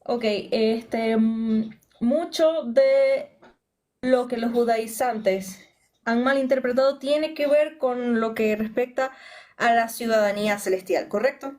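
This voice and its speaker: Woman, unhurried and hesitant, somewhat high-pitched. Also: darkish tone. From Venezuela. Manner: hesitant, giggly. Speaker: church member in a lesson. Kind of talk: casual answers in a class.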